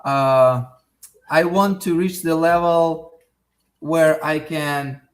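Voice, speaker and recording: A man in his thirties, a native Russian speaker with a Russian accent, answering interview questions in a halting way with many fillers and restarts. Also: fairly high pitch, steady tone. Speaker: interview guest.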